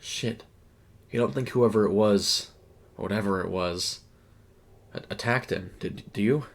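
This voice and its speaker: A man voicing a nervous young fast-food coworker with a deep voice in a horror story. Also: vocal fry. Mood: worried.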